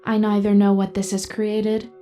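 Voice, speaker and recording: A woman playing a composed, analytical AI companion who narrates an audio drama in formal, precise, measured speech. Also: mid-range voice, completely flat delivery, warm tone, faint music behind, lightly acted. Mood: calm, analytical. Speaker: narrator and in-character host.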